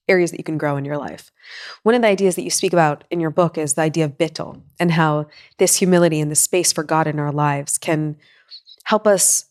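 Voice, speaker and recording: A woman's voice: low-pitched, darkish tone. A woman hosting a faith podcast interview, affirming her guest and reflecting back on what she said. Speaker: podcast host.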